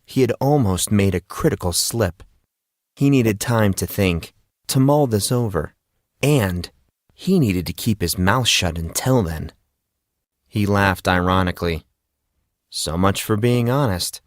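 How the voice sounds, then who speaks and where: A man's voice: fairly low pitch, animated, a little slow, no room sound, studio quality. A man narrating scripted fiction as an audiobook reader.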